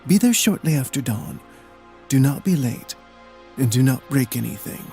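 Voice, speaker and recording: A man's voice: medium pitch, lively intonation, moderate pace, creaky, warm tone, faint music behind. A man playing an aloof, solitary lord of an icy castle in an audio drama, speaking in a curt, formal and exacting way.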